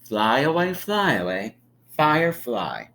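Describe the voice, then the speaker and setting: Animated, slow-paced man reading to children. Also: medium pitch. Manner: encouraging, inviting listeners to count along; drawn-out, emphatic storytelling delivery with dramatic pauses. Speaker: teacher reading a picture book aloud to preschoolers. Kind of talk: animated children's read-aloud with friendly asides.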